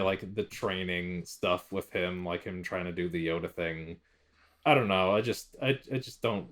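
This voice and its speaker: A man co-hosting a podcast, chatting casually about what he reviews in a tentative, hedging way. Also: fairly low pitch. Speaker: podcast co-host.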